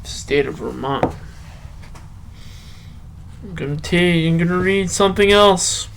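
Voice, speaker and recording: A man playing a slow, earnest poetry show host with a drawn-out, halting delivery. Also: high voice, vocal fry, a bit bright, slight background noise, voice pushed up, full character voice. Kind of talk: halting poetry recital and rambling podcast talk.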